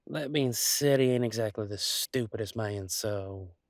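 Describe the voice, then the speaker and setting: A man playing an easygoing, affectionate country werewolf boyfriend, talking in a folksy, halting way in an intimate roleplay audio. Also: lowish voice, no echo, clean studio sound. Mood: hesitant, uneasy. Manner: folksy, drawling slang, halting asides.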